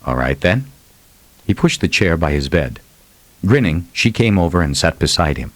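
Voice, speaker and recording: A man's voice: low voice, animated, normal speed, dry recording, low-quality recording. A man narrating fiction as a scripted, dramatic audiobook reading.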